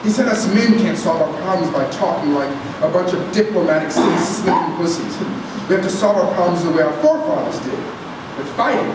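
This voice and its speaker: A man's voice: high voice, a little fast, natural voice. A man playing a hot-tempered, swaggering, foul-mouthed teenage boy in a staged script reading. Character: cocky, crude, hot-tempered teenage boy gang leader. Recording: very echoey room, distant mic, clear noise.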